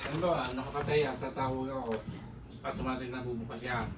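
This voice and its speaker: A man with a Filipino accent in casual chat, halting and repeating himself as he checks what to do. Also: medium pitch, steady tone, recorded from a distance. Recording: off-mic, clearly noisy background.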